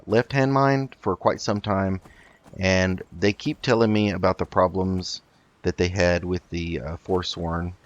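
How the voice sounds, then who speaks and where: A man's voice: low voice, dull audio. A man giving casual video game commentary, thinking aloud in a hesitant way as he plays. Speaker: host of a video game roleplay let's play.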